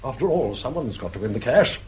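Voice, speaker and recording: A man's voice: mid-range voice, big pitch swings, brisk, darkish tone, slightly rough, low-quality recording. A man playing a jaunty, wry old grandfather in a British radio drama, with a teasing, hesitant way of talking and a British accent. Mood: jovial, teasing. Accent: British English.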